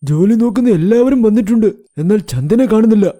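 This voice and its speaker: Man playing a fretful older father, dark high voice. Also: expressive, brisk. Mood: worried. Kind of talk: cartoon moral story acting.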